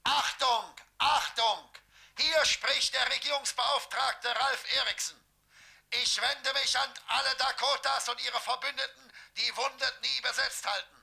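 A man's voice: very high-pitched, steady tone, a little slow, creaky, sharp tone, full character voice. An actor playing a stiff male government envoy, proclaiming a formal official announcement in a German radio play. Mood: formal, forceful.

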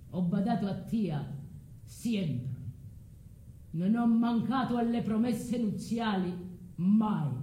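A slow, swooping male voice plays a pompous husband excusing himself in a stage drama. He speaks in measured phrases. The recording echoes, with faint noise. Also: high voice, mellow. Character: Jason, self-justifying husband leaving his wife, pompous. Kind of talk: theatrical monologue in odd mixed dialect. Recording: noisy, echoing stage recording.

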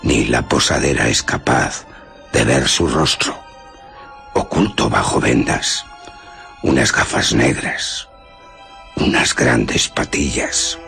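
A man playing a famous male novelist telling his tale in a radio drama, gravelly and deliberate, with teasing dramatic pauses. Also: very deep voice, pushed and bright, exaggerated voice. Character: H. G. Wells as a slow, theatrical male novelist storyteller.

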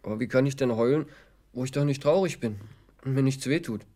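A man's voice: mid-range voice, brisk, mellow, lightly acted. An actor playing a naive, earnest young village man in a radio drama, with a guileless, wondering way of talking. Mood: puzzled, moved.

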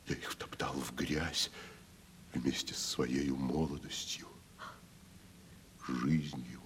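Deep, slow, anguished drunken tragedian. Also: male voice, full character voice. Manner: declamatory, anguished, dramatic pauses, drops into whispers. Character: grandiose, tormented drunken wandering actor, self-reproaching brother. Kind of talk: theatrical Russian radio play acting. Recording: faint hiss of 1970s radio recording.

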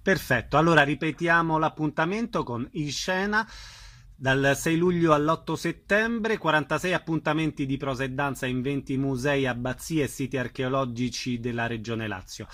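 Bright-voiced male radio interviewer. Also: mid-range voice, normal speed. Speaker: radio host interviewing guests.

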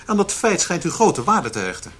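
A man's voice: sits high, very animated, moderate pace, fairly bright tone, husky, exaggerated voice. An actor playing a defensive, sardonic, self-possessed businessman in a radio drama. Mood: wry. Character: defensive, sardonic businessman under police questioning. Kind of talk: Dutch radio drama acting. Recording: faint background noise.

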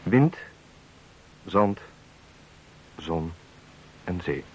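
A man giving a formal, measured, solemn scripted introduction to a radio play as its announcer. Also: deep voice, animated, husky, rough recording. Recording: hissy old radio recording.

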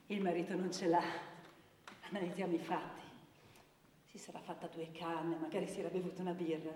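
A woman's voice: mid-range voice, darkish tone, natural voice. An actress playing a scornful, gossipy woman in a theatrical monologue, insinuating and full of rhetorical questions. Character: scornful, gossipy woman who blames victims. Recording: echoey hall, distant microphone, faint music.

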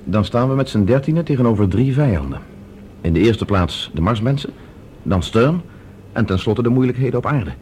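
An actor playing a calm, reasoning space expedition leader with a measured, decisive way of explaining, in a science-fiction radio drama. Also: male voice, mid-range voice, natural voice. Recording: noisy old radio drama recording.

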